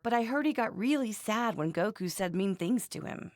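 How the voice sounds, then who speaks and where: A woman voicing a fretful, scolding anime mother in a video game, blunt and expressive. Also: mid-range voice, moderate pace, no echo, studio quality, lightly acted. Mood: matter-of-fact. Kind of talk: animated game voice acting.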